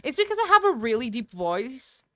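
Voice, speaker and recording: A woman's voice: on the high side, sing-song, fairly quick, no room sound, studio quality. A woman streaming a game from home into a desk microphone, chatting with viewers in a rambling, talkative way. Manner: chatty, rambling, answers chat aloud. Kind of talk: casual gaming stream chat.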